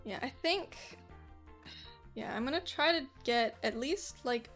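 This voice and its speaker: A woman's voice: fairly high pitch, animated, a little slow, fairly bright tone, faint music behind. A woman streaming while she animates, chatting in an easygoing, giggly way and often trailing off.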